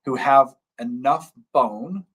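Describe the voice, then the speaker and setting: A man's voice: medium pitch, slow pace, fairly bright tone, slightly rough. A man, a dentist hosting a live stream, explaining in casual chat and repeating key terms to keep things simple.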